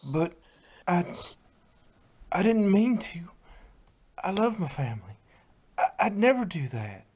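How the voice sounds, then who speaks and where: A woman's voice: fairly low pitch, very animated, unhurried, background noise. A woman playing a pleading farm mare. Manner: stammering, pleading.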